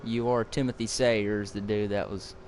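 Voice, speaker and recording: A man's voice: lowish voice, flat delivery, moderate pace, a little mellow, slight background noise. A fourteen-year-old boy chatting casually with viewers while playing a farming game, rambling and repeating words as he starts sentences. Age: teens. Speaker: gaming video host. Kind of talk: casual gameplay chat with viewers.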